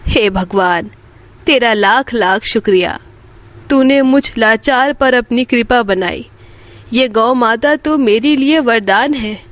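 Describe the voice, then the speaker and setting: Lady doing a poor old mom in a moral tale, kindly but scolding, talks slow, high pitched. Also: no room sound. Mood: grateful. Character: poor, kind-hearted old mother, pious and scolding. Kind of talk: acted children's moral story.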